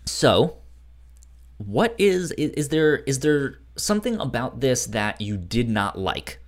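A man's voice: medium pitch, big pitch swings, slow. A man co-hosting a podcast, discussing a book casually, with a frequent stutter that repeats sounds and syllables. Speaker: podcast co-host.

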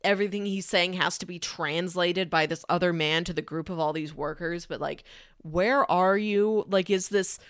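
A woman's voice: on the low side, normal speed, no echo, clean studio sound. A woman co-hosting a film podcast in casual conversation, thinking aloud and posing rhetorical questions.

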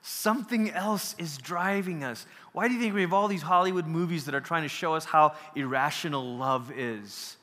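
Man, from Malaysia, workshop lecturer, conversational, bright voice, long hall reverb. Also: fairly high pitch, normal speed, hardly any pauses. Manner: conversational, asks the audience questions, restarts, laughs easily. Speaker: workshop speaker. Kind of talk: casual lecture on theology.

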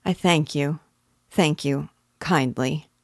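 Woman voicing a slow, withdrawn, wretched weaver. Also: sits low, a little mellow, no echo, clean studio sound, full character voice. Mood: dejected, mild. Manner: slow, mild, hesitant.

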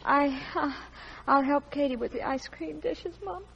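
An actress playing a dramatic, lovelorn teenage daughter in a 1940s radio drama. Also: female voice, high voice, measured pace, vocal fry, big-room sound, muffled recording, a little hiss or hum. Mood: subdued.

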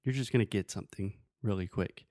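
A man's voice: fairly low pitch, fast, a little mellow, no echo. Casual male English teacher, dry close sound. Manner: explains with everyday examples, checking understanding. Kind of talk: casual conversational language lesson.

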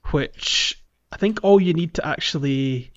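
A man's voice: fairly high pitch, measured pace, muffled recording. A man hosting a casual video game podcast, chatting informally and explaining things. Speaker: podcast host.

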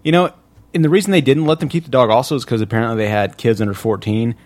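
A man's voice: mid-range voice, expressive, very fast, a little mellow. A man hosting a casual podcast, talking over the news in a blunt, profane way. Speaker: podcast host. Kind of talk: casual crude panel chat about news.

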